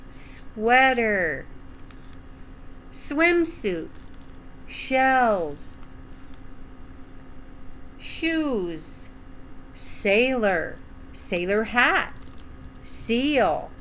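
Older female storyteller for a picture book, slightly high-pitched, very unhurried, home webcam sound with some background noise. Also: fairly bright tone. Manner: deliberate, enunciated, asking the child questions. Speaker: storyteller reading a children's picture book aloud. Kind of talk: read-aloud for young children with asides. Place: small room at home, indoors.

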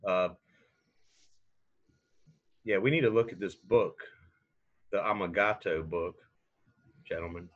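A man's voice: deep voice, slow pace, long pauses. A man playing a tabletop game over a call, speaking in a plain, businesslike way. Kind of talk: casual in-character chat over a call. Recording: muffled online call audio.

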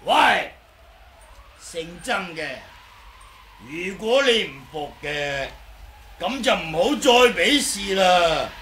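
Radio drama role: quarrelsome old kung fu master, very high bright voice, swooping, Hong Kong Cantonese. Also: male voice, big pitch swings, takes it slowly, pauses often, heavily acted. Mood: wheedling. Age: older. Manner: wheedling, argumentative, exclaiming and chuckling. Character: cheeky, blustering old male martial artist, quarrelsome sore loser. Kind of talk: 1980s radio drama acting. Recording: noisy old 1980s radio recording.

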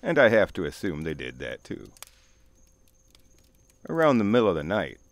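Man, middle-aged, very low voice, folksy first-person storytelling, faint music behind. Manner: conversational, folksy. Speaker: storyteller, narrator. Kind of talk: first-person campfire horror story narration.

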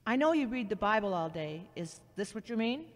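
An actor playing a caring but frank older sister who probes and admonishes, in an amateur audio drama. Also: female voice, mid-range voice, very animated, fairly quick, natural voice. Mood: probing, teasing. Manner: probing, admonishing. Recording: reverberant hall-like sound.

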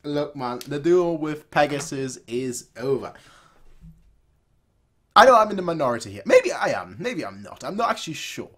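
A man's voice: on the high side, sing-song, moderate pace, fairly bright tone. A young man giving casual, off-the-cuff reaction commentary as a host, repeating phrases for emphasis. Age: young adult. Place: small room indoors.

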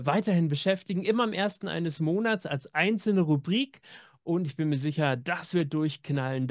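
Chatty man with a higher-pitched voice. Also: dry recording, studio quality. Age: middle-aged. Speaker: co-host. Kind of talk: casual co-hosted chat about new audio drama releases. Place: home room with media shelves, over video call.

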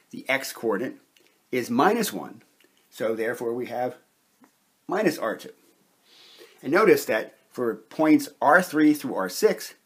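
A man giving a methodical, step-by-step instructional lecture, pausing between short phrases in a deliberate way. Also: medium pitch, breathy edge. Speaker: chemistry lecturer, presenter. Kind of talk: step-by-step instructional explanation at a whiteboard.

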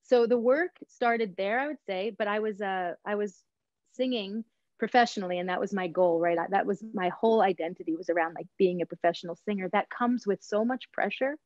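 Expressive middle-aged woman on a video call. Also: mid-range voice, brisk, no echo, highs cut off. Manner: expressive, gestures while talking, frequent "right?". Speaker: guest, voice teacher and singer. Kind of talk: animated interview storytelling. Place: home room with bookshelves.

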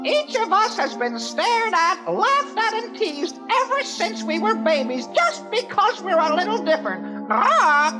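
A woman's voice: very high-pitched, sing-song, a little slow, few pauses, heavily acted. A woman voicing a small, shy female canary who talks instead of sings, hesitant with many fillers. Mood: plaintive. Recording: dull lo-fi record with music.

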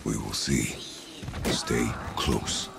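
Very deep, gravelly warrior father. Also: fairly even intonation, over music, heavily acted. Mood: stern, restrained. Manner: terse, measured, formal phrasing without contractions. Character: stern, stoic warrior father, gruff and commanding. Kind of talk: video game character acting.

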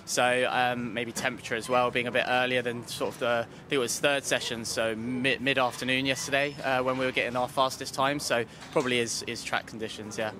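Bright-voiced man in casual interview. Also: mid-range voice, little pitch movement, brisk, hardly any pauses, slight background noise. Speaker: amateur racing driver being interviewed. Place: inside a paddock awning tent. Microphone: handheld interview microphone.

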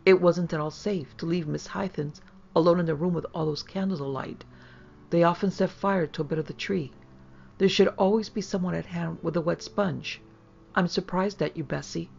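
Storybook mother role, read by a woman: affectionate yet firm, brisk, genteel, on a dull recording. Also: low-pitched. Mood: reproving. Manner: brisk, coaxing, reproving. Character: fond, firm, upper-class mother.

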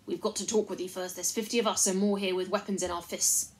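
A woman's voice: mid-range voice, steady tone, quick pace, lightly acted. Woman acting a folksy, long-winded invisible chief. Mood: bold, blustering. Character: rambling, self-important invisible male chief of servants.

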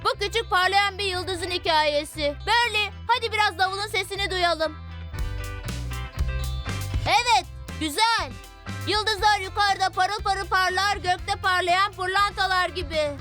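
A bold little kid in a children's cartoon. The voice is extremely high and sharply bright, full of exuberant, take-charge eagerness. Light music plays behind it. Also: female voice, measured pace, exaggerated voice. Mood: showy.

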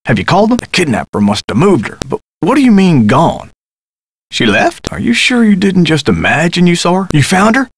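An actor playing a friendly, helpful, folksy theater worker in a video game. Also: male voice, high-pitched, big pitch swings, brisk, husky, no echo, exaggerated voice. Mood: anxious, questioning. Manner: folksy, encouraging.

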